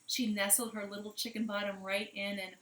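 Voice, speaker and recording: A woman's voice: mid-range voice, flat delivery, a little fast, a bit bright. A woman hosting storytime for young children, speaking expressively in simple phrases and questions. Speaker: children's library storytime host. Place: living room at home. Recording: roomy, echoey home recording.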